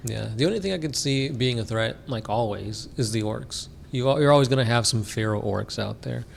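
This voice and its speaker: A man co-hosting a casual podcast about a tabletop war game, answering fan questions in a relaxed way, full of "like" fillers and quick laughs. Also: medium pitch, vocal fry, a little hiss or hum. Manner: laid-back, many "like" fillers, laughs often. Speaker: podcast co-host.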